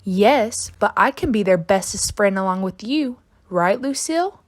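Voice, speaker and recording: A woman voicing an excitable, dramatic first-grade girl in a storybook, gushing with eager, childlike exclamations. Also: medium pitch, unhurried, natural voice. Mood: pleading. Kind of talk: children's storybook read-aloud.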